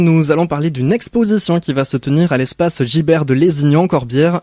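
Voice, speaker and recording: A man with a fairly high voice hosts a local radio interview, pausing often with fillers as he moves between guests. The sound is dry and close, with no room around it. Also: a little mellow, no echo. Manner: hesitant, frequent fillers. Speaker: radio host.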